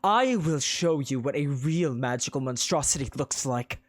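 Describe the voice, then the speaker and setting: A narrator voicing a proud young woman mage who speaks in a calm, cold, measured way. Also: medium pitch, no echo, lightly acted. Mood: threatening.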